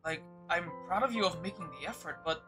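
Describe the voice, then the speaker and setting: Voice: mid-range voice, fairly quick, music in the background. A game streamer giving casual live commentary, hesitant and often repeating phrases while thinking aloud.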